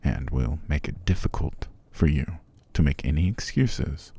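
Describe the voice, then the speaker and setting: A man playing a cruel, haughty, possessive vampire master in an ASMR roleplay, with a gravelly, breathy voice and a condescending, commanding manner. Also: rumbling low, lively intonation, dark tone, exaggerated voice. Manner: slow, condescending, commanding, drifting into whispers. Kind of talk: ASMR roleplay, scripted character monologue.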